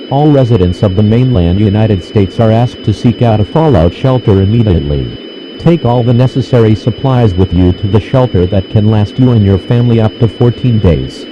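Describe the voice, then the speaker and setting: A man voicing a stern, impersonal emergency alert announcer in a formal, measured official style. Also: lowish voice, close to an everyday voice. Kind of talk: scripted emergency broadcast announcement. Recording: dull, noisy radio-style broadcast.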